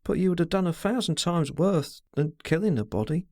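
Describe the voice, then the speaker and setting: A man's voice: on the high side, darkish tone, dry recording, clean studio sound, pitched above the performer's own voice, natural voice. Man voicing a stammering, pleading young woman. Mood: desperate. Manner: hurried, stammering, ardent. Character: distressed young woman under twenty, pleading.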